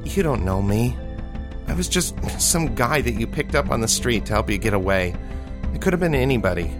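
A man's voice: low voice, steady tone, normal speed, over music, close to an everyday voice. A man voicing an earnest, tender-hearted and easily flustered young man in a fantasy audiobook. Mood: sad. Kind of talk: dramatized audiobook dialogue.

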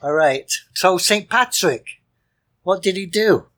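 A man's voice: sits high, animated, slow pace, a bit bright. A man co-hosting a music show with casual, jovial and rambling banter. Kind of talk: casual chatty radio-style banter.

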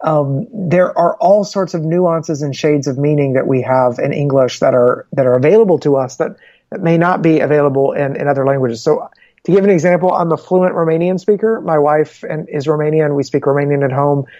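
A male interview guest speaks in an earnest, measured way and builds his explanations point by point. The recording sounds slightly dull and lo-fi, and the close, dry sound has no room echo. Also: fairly high pitch, warm tone. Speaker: guest, Bible publishing ministry representative.

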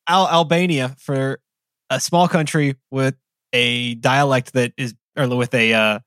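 A man in casual conversation, speaking at a middling pitch with an easy, rambling delivery full of small fillers. He sounds close and dry, with no room around his voice. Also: unhurried, clean tone, no room sound. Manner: relaxed, rambling with frequent "like" fillers. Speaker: podcast co-host.

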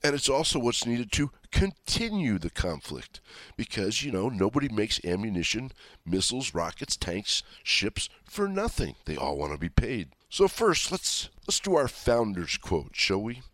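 A man's voice: fairly low pitch, wildly swooping pitch, normal speed, fairly bright tone, no room sound. A middle-aged man hosting a talk radio show, stuffed up from a cold, talking in a folksy, rambling way with chatty asides. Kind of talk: conservative talk radio monologue.

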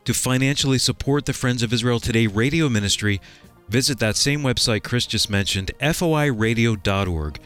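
A man announcing a radio program from a script with a practiced, clear delivery. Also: mid-range voice, a little fast, fairly bright tone. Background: music under the voice.

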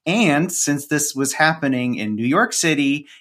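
A man's voice: a little high, lively intonation, a little slow, fairly bright tone. A man speaking as a podcast guest in a casual conversation, telling stories in a chatty, self-deprecating way.

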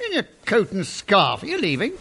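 Creaky, high-pitched old English miser. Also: male voice, wildly swooping pitch, moderate pace, bright, forceful tone, full character voice. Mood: irritated, threatening. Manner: curt, sarcastic, sneering. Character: cold, miserly old English businessman, grumpy and scornful. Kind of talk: family radio drama acting.

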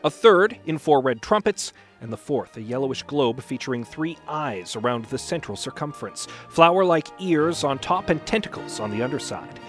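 A man's voice: medium pitch, lively intonation, normal speed, few pauses, soft background music. A man reading prepared scripted material aloud as a podcast presenter. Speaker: podcast host, ad reader and dramatic reader.

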